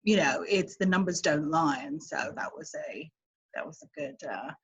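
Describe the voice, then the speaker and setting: A woman with a London English accent, speaking as an immigration lawyer guest in a casual interview, thoughtful and halting with many fillers. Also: deep voice, slightly rough. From England.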